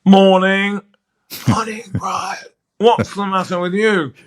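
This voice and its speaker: A man's voice: high-pitched, steady tone, slow pace. An older man, a veteran sports broadcaster, telling fond anecdotes in a chatty interview, often restarting his sentences.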